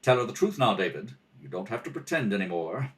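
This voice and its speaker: A man playing a shrewd, composed detective in a radio mystery, measured, pointed and wry. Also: fairly low pitch, big pitch swings. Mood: coolly accusing. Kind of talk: old-time radio mystery acting.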